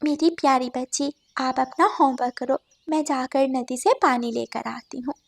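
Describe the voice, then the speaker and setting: Woman playing a gentle high-voiced mother sparrow. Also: steady tone, normal speed, vocal fry. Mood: tender.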